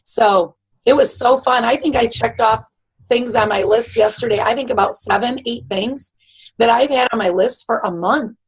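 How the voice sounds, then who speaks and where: A woman hosting a video call, encouraging and emphatic, her voice raspy. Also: fairly high pitch, normal speed, poor audio. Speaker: team leader hosting the call. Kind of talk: casual motivational chat. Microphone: computer mic on a video call.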